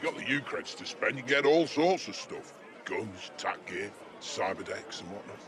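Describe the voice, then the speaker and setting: An actor playing a chatty, jaded older working-class British local in a cyberpunk video game, speaking in a slangy, matey, sardonic way. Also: male voice, on the low side, lively intonation, normal speed, a loud crowd, close to an everyday voice. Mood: matter-of-fact. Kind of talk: video game character dialogue.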